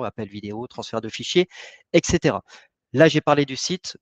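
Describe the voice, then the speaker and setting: A man's voice: mid-range voice, no room sound, muffled recording. A man giving a product presentation in a webinar, hesitant, with many fillers and restarts.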